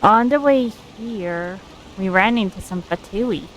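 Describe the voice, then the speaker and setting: A woman giving casual, informal live commentary as a game streamer, speaking haltingly. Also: mid-range voice, slight background noise, poor audio.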